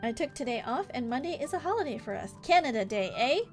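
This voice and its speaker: An American woman streaming a game, chatting casually with an animated, gossipy manner. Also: sits high, fast. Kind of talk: live stream chat while reading game dialogue aloud. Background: music.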